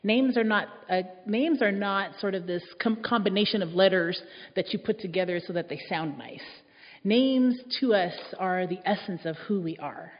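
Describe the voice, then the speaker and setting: A woman's voice: mid-range voice, moderate pace. Woman telling a story in a hall. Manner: reflective, warm storytelling with soft laughs. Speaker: speaker giving a church talk. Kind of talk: personal story in a sermon. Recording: dull echoey hall sound.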